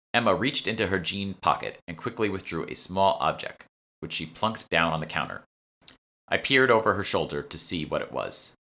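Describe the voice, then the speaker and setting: A man with a very low voice narrates a first-person audiobook. His tone is bright and forceful, in the style of an author reading a scripted story.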